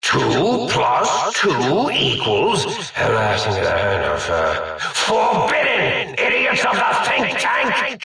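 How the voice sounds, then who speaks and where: An actor voicing an eccentric, absent-minded mad scientist in a video game, a comically villainous figure who rants and rambles in a theatrical, grandiose way. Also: male voice, medium pitch, huge pitch swings, slow, gravelly, sharp tone, very echoey, recorded from a distance, soft background music, full character voice. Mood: villainous ranting.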